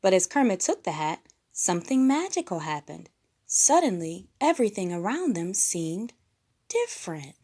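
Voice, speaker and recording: A woman's voice: mid-range voice, big pitch swings, moderate pace, dark tone. A woman storyteller reading a picture book aloud expressively for children.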